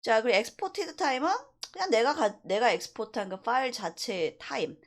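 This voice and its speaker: A woman instructor giving an informal software tutorial, explaining step by step and stressing key points. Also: a little high, very animated, brisk. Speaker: university animation instructor.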